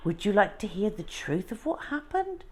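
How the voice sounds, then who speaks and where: A woman voicing a wistful old lady who recalls her lonely childhood in a measured, reflective storybook manner. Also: mid-range voice, sing-song, husky, voice pushed up. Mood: gentle, grave.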